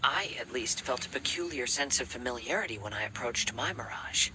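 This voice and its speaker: A man playing a calm, reflective young wanderer in a video game, measured and soft-spoken. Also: mid-range voice, background noise, close to an everyday voice. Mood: calm, thoughtful. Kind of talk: video game character acting.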